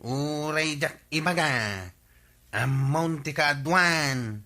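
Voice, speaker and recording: A man's voice: on the high side, drawn-out words, sharp tone, heavily acted. A man with an Ilocano Filipino accent playing a nosy, blunt, disapproving villager in a radio comedy drama. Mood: accusing.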